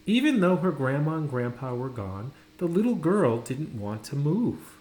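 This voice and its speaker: A man reading a children's story aloud, dramatically, with pauses and stressed words. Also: on the high side, big pitch swings, darkish tone. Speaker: storybook reader. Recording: roomy echo, faint background noise.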